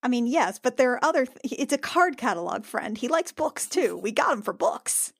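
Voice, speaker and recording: A woman playing a tabletop role-playing game, chatting casually and describing her character with halting, emotive reactions. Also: fairly high pitch, sing-song, no echo, clean studio sound.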